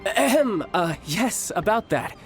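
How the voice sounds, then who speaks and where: A man's voice: high voice, very animated, a little slow, music in the background. A man voicing a suave, playful young agent in an anime-style video game, courtly and teasing in manner. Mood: confiding. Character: suave, playful young male agent, doting big brother.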